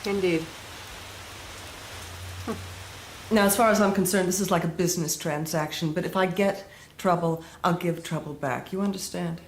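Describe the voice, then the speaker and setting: An actress playing a stern, devout, threatening wife of a powerful household in an audio drama, speaking in a cold, clipped, authoritative way. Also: female voice, lowish voice, moderate pace, pauses often, close to an everyday voice. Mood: cold, warning. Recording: roomy echo, clear background noise.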